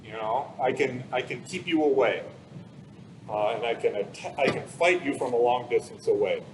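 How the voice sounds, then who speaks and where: Guy doing a casual museum-style explainer for kids, mid pitch, some background noise. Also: moderate pace. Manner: casual, plain-worded explanations with everyday comparisons. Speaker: museum expert and presenter. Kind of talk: informal explanatory talk for children.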